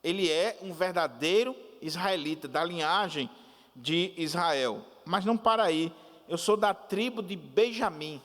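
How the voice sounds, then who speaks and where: High-voiced pastor preaching slowly in a reverberant hall. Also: male voice, fairly bright tone. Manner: deliberate, repeats and restates points, explanatory asides. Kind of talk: sermon.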